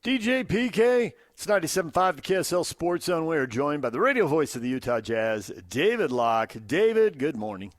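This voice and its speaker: A man's voice: fairly high pitch, big pitch swings, brisk, hardly any pauses, no echo. A man hosting a conversational sports talk radio show on a close studio microphone.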